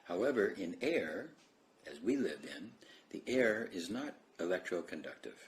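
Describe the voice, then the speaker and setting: A man with a deep voice gives an explanatory lecture. His pitch moves around a lot, and there is faint noise on the recording. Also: sing-song, normal speed. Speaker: presenter.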